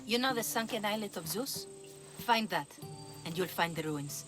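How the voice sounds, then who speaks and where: Weary, sardonic market woman played by an actress, Greek accent, mid-pitched, dry delivery, game cutscene with music behind. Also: expressive, normal speed, natural voice. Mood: matter-of-fact. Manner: dry, wry. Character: weary, sardonic female vegetable merchant. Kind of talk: video game cutscene acting.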